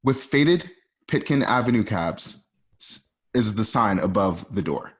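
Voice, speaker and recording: A man's voice: lowish voice, animated, a little slow. A man reading stage directions for a radio drama in a conversational way, with casual asides. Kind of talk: informal scripted narration for radio drama.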